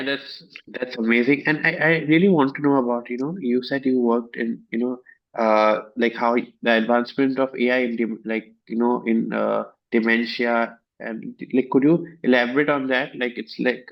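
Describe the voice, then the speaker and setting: A man is hosting a conversational interview. His voice sits at an average pitch and his delivery is halting and hesitant. The audio is dull and muffled, as if recorded on basic equipment. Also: steady tone, vocal fry. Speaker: interview show host.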